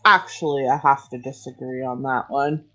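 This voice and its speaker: A woman's voice: a bass voice, moderate pace, sharp tone. A woman co-hosting a podcast, chatting casually and teasing with crude jokes. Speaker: podcast co-host. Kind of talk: casual chat.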